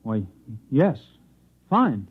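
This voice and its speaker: Timid, confused man in 1950s radio drama; husky, faltering delivery, narrow phone-like sound. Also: mid-range voice, sing-song, heavily acted. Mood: timid, unsure. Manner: halting, hesitant, stammering. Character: bewildered, timid man convinced he is a Martian. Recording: muffled, phone-like vintage 1950s radio recording.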